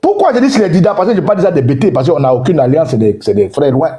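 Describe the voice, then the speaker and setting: A man's voice: a little high, sing-song, very fast, mellow. A man speaking in a heated online debate, emphatic and lecturing, repeating himself for force. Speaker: participant in an online debate room. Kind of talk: heated political talk.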